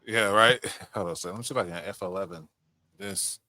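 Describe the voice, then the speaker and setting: A man's voice: fairly low pitch, lively intonation, quick pace, vocal fry, sharp tone, no echo. A man hosting a podcast in casual chat, swearing freely as he talks. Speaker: podcast host.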